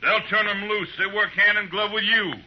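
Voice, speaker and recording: An actor playing a belligerent, sneering western rabble-rouser in an old radio drama, full of bluster. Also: male voice, sits high, steady tone, moderate pace, close to an everyday voice. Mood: sneering, indignant. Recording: dull vintage radio recording, faint music.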